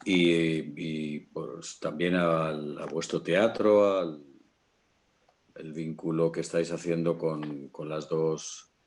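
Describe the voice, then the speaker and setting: Really low voiced guy w castilian spanish accent, chatting on a panel, pauses hunting for words. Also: fairly even intonation. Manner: hesitant, searching for words. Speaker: panel guest. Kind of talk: casual panel talk.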